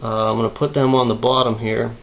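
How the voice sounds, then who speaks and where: A man shows off his tools and builds in an unhurried, rambling way, his voice gravelly and worn raspy and a little out of breath. He speaks in a low, flat drawl with little rise and fall. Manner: relaxed, rambling explanations with many fillers. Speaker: prop maker giving a workshop tour. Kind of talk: casual workshop tour. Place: hot, humid home workshop.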